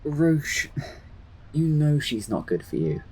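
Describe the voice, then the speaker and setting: A man's voice: on the high side, sing-song, takes it slowly, warm tone, husky, exaggerated voice, poor audio. A man playing a concerned, candid friend and coworker in an audio drama. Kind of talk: audio horror drama acting.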